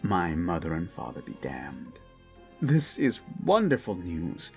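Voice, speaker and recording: A paranoid yandere prince, voiced by a man, in an audio drama, with a very deep, slow, gravelly delivery. He coaxes and threatens in the same quiet breath, slipping into whispers. Faint music plays behind him. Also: wildly swooping pitch, exaggerated voice. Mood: gleeful. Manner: soft-spoken menace, sudden unsettling laughter, whispered asides. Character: unhinged paranoid possessive young prince, menacing.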